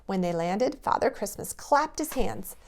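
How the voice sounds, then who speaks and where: A woman reading a storybook aloud to young children in an expressive storytelling manner. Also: medium pitch, a little slow, creaky.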